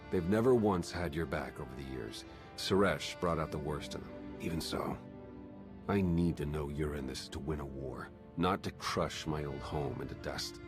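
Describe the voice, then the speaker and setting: A candid veteran soldier for a video game. His voice is extremely low and his manner frank and understated, with a conflicted undertone. Music plays clearly behind him.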